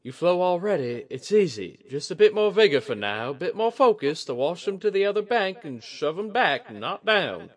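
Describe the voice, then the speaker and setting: A man's voice: high-pitched, big pitch swings, moderate pace, few pauses, pitched above the performer's own voice. A man voicing a determined, wry woman mystic in a fantasy audiobook, informal and a little weary. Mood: coaxing.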